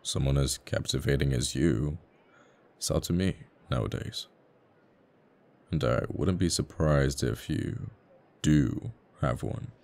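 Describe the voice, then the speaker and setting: A man plays a smitten male fighter pilot in an intimate ASMR romance. His voice is extremely low and full of creak, and it moves slowly. He is flirty yet easily flustered, tripping shyly over his words with a tender sincerity. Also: a little mellow, full character voice. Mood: flustered, curious. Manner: halting, stammering, bashful. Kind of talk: romantic ASMR audio roleplay.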